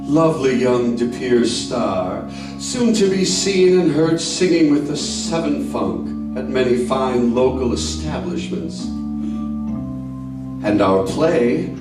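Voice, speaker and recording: A man playing a formal, dramatic 1940s radio mystery host, reading scripted narration. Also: mid-range voice. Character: suave male radio mystery show host. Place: indoor theater stage. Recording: very echoey stage, distant mic, music behind.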